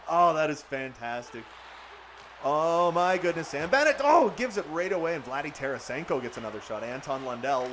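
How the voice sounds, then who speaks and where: A young man streaming a hockey video game at his home setup, calling the plays and reading out chat, jokey and excitable with loud bursts on big moments. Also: fairly high pitch, noisy background. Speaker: gaming streamer doing play-by-play.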